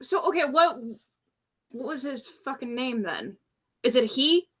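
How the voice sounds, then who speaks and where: A woman's voice: high-pitched, animated, a little slow. A woman playing a brash vampire wolf woman, talking in a casual, slangy, sweary way. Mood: indignant.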